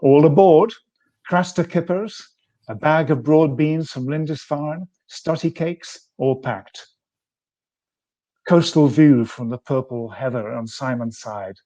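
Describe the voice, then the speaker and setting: A man reading his own poem in a measured way, pausing between phrases as he lists images. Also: a little high, mellow. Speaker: poet. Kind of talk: poetry reading.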